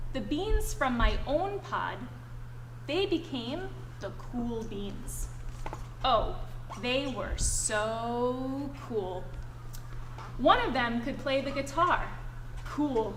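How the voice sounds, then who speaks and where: High-pitched female educator, animated and encouraging read-aloud for kids, live in an echoey room. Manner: encouraging, addresses children with endearments. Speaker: educator reading aloud to children. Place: indoor school family event, live audience. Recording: echoey room with background noise.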